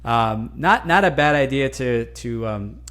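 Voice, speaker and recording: A man from New Jersey whose first language is Italian, speaking as a guest in a casual interview, animated and often restarting words. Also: medium pitch, unhurried. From New Jersey, USA. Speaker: guest, franchise consultant.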